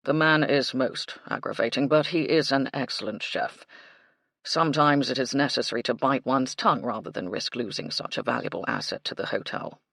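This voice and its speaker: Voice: mid-range voice, moderate pace, vocal fry, a bit bright, no room sound, studio quality. A narrator voicing a stiff, formal male hotel manager who speaks in a brittle, proper way.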